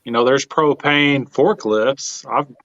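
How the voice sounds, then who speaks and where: A man's voice: mid-range voice, slow pace, a bit bright, no room sound. A man chatting casually as a livestream co-host over a muffled, lo-fi microphone. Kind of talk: casual chat.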